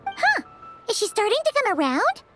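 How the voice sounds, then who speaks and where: A woman voicing a tiny, childlike, chatty and cheeky fairy companion in a video game, with a squeaky, exclamatory delivery. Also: huge pitch swings, moderate pace, exaggerated voice. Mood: excited. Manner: exclamatory, speaks of self in third person. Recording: game music behind the voice.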